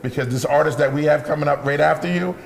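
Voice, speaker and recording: Loud, laughing man co-hosting a live morning show. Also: a little high, fairly even intonation, fast, gravelly, roomy sound, big-room sound. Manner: loud, laughing, repeats himself.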